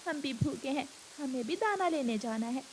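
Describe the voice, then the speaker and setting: A woman's voice: sits high, expressive, normal speed, a little hiss or hum. A woman voicing a caring, determined mother bird in a cartoon, speaking earnestly and with some worry.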